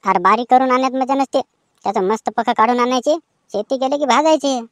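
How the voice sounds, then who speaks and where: A woman's voice: sits high, fast talker, no room sound, clean studio sound. A woman with a rural Maharashtra accent performing colloquial village banter in comic cartoon style. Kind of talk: rustic comic cartoon dialogue.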